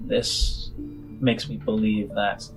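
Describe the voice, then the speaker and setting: A man voicing a shrewd, skeptical female boss in a tabletop game, explaining things in a measured, halting way. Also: lowish voice, level tone, soft background music, rough recording. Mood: measured, serious.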